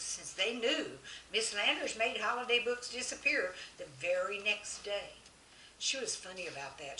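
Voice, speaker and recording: Female children's storyteller, expressive and bright, speaks straight to young listeners; roomy sound with faint noise. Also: mid-range voice, distant mic. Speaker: author reading own children's stories. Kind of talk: children's story narration with chat.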